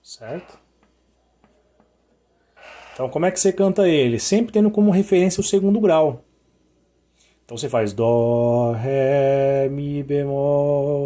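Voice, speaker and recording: Hoarse man teaching music intervals. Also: medium pitch, mellow. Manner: sings interval notes to show them; checks in often with short questions. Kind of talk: sung and spoken music lesson.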